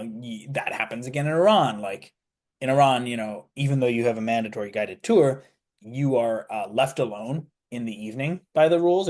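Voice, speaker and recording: A man's voice: medium pitch, a little mellow. A young man, a student guest on a radio interview, talking casually with lots of fillers and restarts. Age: young adult. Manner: rambling, many fillers and restarts. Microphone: studio mic.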